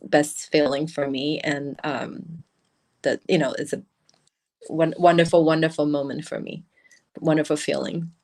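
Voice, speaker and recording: A woman with a low voice and a Mandarin accent speaks English as her second language. She talks in halting bursts, restarting and correcting herself often. She is heard through a laptop webcam on a video call. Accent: Mandarin-influenced English. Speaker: brand founder, guest. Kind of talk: casual video-call interview.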